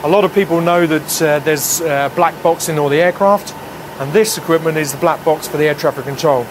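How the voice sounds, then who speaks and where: A man explaining technical equipment in a clear-cut presentation as an engineering head. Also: fairly high pitch, fairly quick. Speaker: head of air traffic engineering. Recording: loud equipment and air-conditioning hum.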